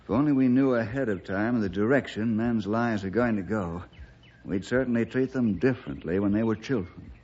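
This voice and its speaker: Principled American leader, male, measured, probing, moralizing, vintage radio drama, muffled old recording. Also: lowish voice, darkish tone, slightly rough. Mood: reflective. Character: steady, principled man, devout leader and investigator. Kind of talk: 1960s radio drama acting.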